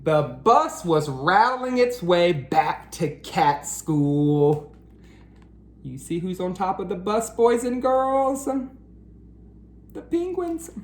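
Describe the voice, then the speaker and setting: A man hosting a read-aloud for children, speaking directly to them with questions and drawn-out emphasis. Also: sits high, very animated. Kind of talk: children's picture-book read-aloud and chat.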